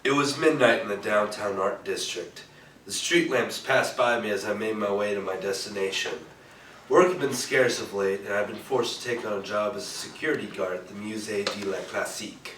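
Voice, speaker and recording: A cool hard-boiled detective, acted by a man tells his story in a lowish voice with measured, wry delivery. It has the style of 1940s radio noir played on stage. The recording is echoey and distant, with faint noise. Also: fairly bright tone, natural voice.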